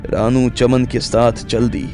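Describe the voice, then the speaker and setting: A man's voice: medium pitch, level tone, takes it slowly, a little mellow. A man narrating a scripted audio drama story as its storyteller. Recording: music bed under the voice.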